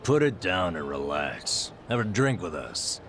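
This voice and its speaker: An actor playing an easygoing, teasing drinking buddy in a video game cutscene. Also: male voice, sits low, big pitch swings, measured pace, fairly bright tone, faint music behind, full character voice. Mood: teasing, relaxed.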